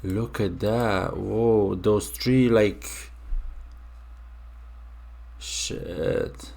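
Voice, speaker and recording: A young man from Romania talks through a reaction video in a low, gravelly voice with plenty of vocal fry. He speaks slowly and haltingly, trailing off and restarting his thoughts as he muses aloud. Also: fairly even intonation, darkish tone. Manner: musing, halting, with many fillers and restarts. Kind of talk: casual reaction commentary.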